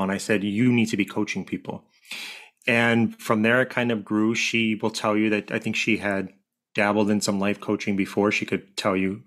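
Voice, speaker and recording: A man who works as a grief coach, telling stories in a relaxed, chatty way in a podcast interview and laughing easily. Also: on the low side. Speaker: grief coach and co-author guest.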